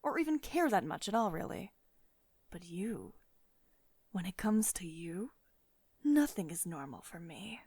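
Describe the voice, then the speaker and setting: A woman performing an ASMR roleplay as a wealthy, possessive tech CEO, speaking in an intimate, deliberate way that often drops toward a whisper. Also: lowish voice, sing-song, a little mellow, slightly rough, no echo, exaggerated voice. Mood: tender, confiding.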